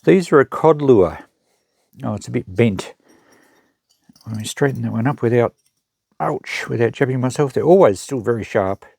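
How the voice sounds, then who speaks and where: A man's voice: medium pitch, expressive, moderate pace, vocal fry, pauses often, darkish tone, dry recording, clean studio sound. An Australian man hosting an unboxing, talking casually and matter-of-factly as he thinks aloud and shows items. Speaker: host of an unboxing channel, secondhand shop seller. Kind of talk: casual unscripted show-and-tell commentary.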